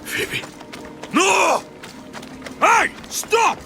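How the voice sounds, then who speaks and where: A man's voice: very high voice, expressive, drawn-out words, gravelly, sharp tone, soft background music, full character voice. A man playing an intense, protective, hot-tempered ancient Greek warrior in a dramatic game cutscene. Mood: desperate. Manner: emotionally volatile, intense.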